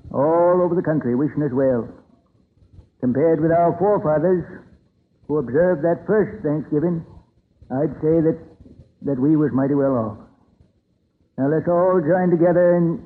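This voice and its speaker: An actor playing an earnest, grateful farmer in a folksy, plain-spoken way, speechmaking solemnly in 1930s radio drama style. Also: male voice, a little high, close to an everyday voice. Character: earnest, grateful male Vermont farmer hosting a Thanksgiving dinner. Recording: tinny, narrow-band vintage radio recording.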